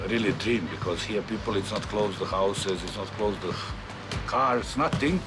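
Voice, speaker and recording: Middle-aged Serbian-born coach, fairly low voice, broken English, music playing under him. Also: male voice. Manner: halting, broken English with restarts. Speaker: football coach. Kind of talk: interview in non-native English.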